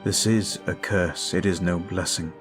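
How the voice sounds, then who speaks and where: A man voicing a brooding male vampire who is weary and guilt-ridden, talking slowly and softly in an ASMR roleplay. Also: low-pitched, steady tone. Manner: slow, soft, confiding. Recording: faint music behind.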